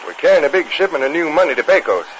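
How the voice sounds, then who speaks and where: Lively, folksy express guard. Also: male voice, a little high, racing. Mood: friendly. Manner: folksy, casual. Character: friendly, folksy male railroad express guard. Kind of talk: 1950s radio western acting. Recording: noisy, muffled old radio recording with clicks.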